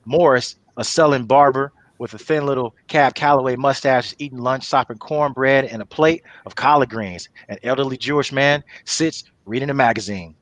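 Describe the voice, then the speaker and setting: A man's voice: mid-range voice, normal speed, few pauses, fairly bright tone, no room sound. A man reading stage directions as narrator of a comedy table read.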